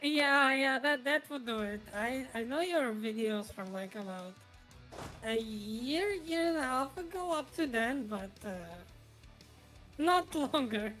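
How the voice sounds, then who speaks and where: A woman's voice: sits high, animated, slow, a bit bright, soft background music. A woman from Croatia chatting casually as a streamer while playing a strategy game, rambling a little with dry humour. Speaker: gaming streamer.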